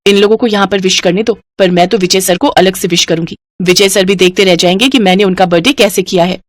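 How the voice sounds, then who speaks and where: A woman's voice: medium pitch, fairly even intonation, fast talker, no echo, natural voice. A woman voicing an eager, forward, infatuated schoolgirl with a coy, gushing manner in a story. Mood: scheming.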